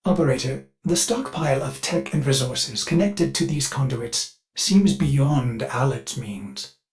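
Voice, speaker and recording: A man's voice: mid-range voice, animated, a little slow, soft background music, close to an everyday voice. An actor playing a fussy, devoted male ship AI in a video game, eager and anxious, with a polite, theatrical manner. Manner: polite, theatrical, refers to self in third person. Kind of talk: video game companion dialogue.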